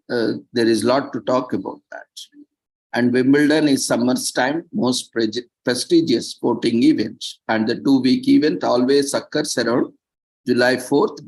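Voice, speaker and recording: A man presenting, reading prepared text with off-the-cuff asides, halting and stumbling over words at times. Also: medium pitch, poor audio.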